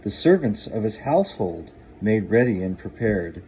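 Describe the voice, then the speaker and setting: An older man reading a rhyming picture book aloud to a young child, in an expressive, deliberate, sing-song way. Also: fairly low pitch, a little hiss or hum, low-quality recording. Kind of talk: expressive storybook narration.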